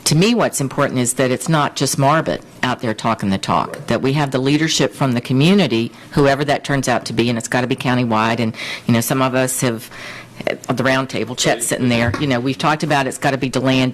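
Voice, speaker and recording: A man's voice: mid-range voice, fairly quick. Man explaining points at a commission meeting. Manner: explanatory, setting the record straight. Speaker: city commissioner. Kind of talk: speaking at a public meeting. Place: city commission chamber.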